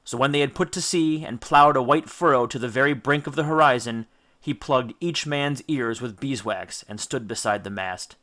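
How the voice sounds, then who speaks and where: Male audiobook reader: mid-pitched, bright, forceful, theatrical narration of an adventure tale. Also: normal speed. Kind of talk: dramatic scripted storybook narration.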